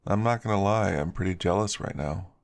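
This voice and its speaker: A man's voice: deep voice, brisk. A man voicing a playful, slightly insecure male werewolf in an ASMR story. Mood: jealous. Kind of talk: character dialogue in an ASMR story.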